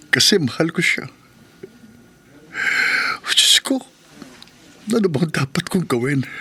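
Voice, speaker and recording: A man's voice: medium pitch, bright, forceful tone, breathy edge, exaggerated voice. A man with a Filipino accent playing a humble, hurt taxi driver husband in a melodramatic radio drama. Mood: anguished. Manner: earnest, emotive, melodramatic.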